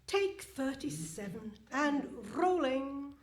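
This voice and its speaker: A woman's voice: sits high, big pitch swings, takes it slowly, full character voice. A woman playing a naive, puzzled recording producer with a questioning manner in a live radio comedy play. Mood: businesslike. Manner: hesitant, questioning. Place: hall stage in front of a live audience.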